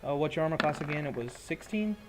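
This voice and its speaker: A man with a slightly high voice runs a tabletop roleplaying game as game master. He describes combat in vivid, gory detail, then drops into plain rules talk. Faint music plays along with his voice. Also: animated, quick pace.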